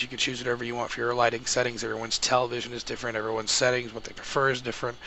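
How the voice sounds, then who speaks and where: Bright-voiced man giving a casual tech tutorial. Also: medium pitch. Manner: informal, explanatory, addressing viewers directly. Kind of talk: casual step-by-step screen tutorial. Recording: clearly noisy home microphone recording.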